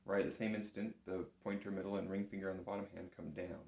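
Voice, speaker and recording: A man's voice: deep voice, flat delivery, quick pace, gravelly, darkish tone. A man giving a patient, careful step-by-step music lesson as an instructor, recorded in an echoey room. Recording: roomy, echoing room sound.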